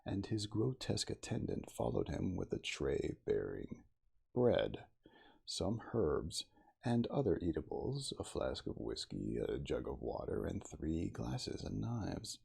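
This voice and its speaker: A man giving a theatrical, deliberate ASMR storybook reading as the narrator of a classic novel. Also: deep voice, big pitch swings, creaky, dark tone, breathy edge.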